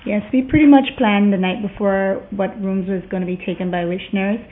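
A woman's voice: medium pitch, steady tone, fairly quick. A woman, an operating room nurse, answering interview questions in a brief, matter-of-fact way. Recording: tinny phone-like sound with clear background noise.

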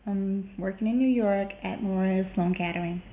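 A female operating room nurse answers questions briefly and matter-of-factly. Her voice comes through thin and phone-like, with clear noise behind it. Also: medium pitch, moderate pace. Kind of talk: interview answers. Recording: tinny phone-like sound with clear background noise.

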